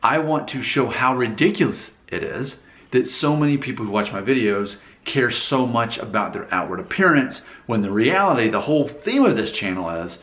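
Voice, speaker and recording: A man's voice: mid-range voice, lively intonation, normal speed, a bit bright. Candid man talking to camera, echoey room. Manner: candid, emphatic, preachy asides. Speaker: YouTube channel host. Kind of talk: casual talking-to-camera monologue.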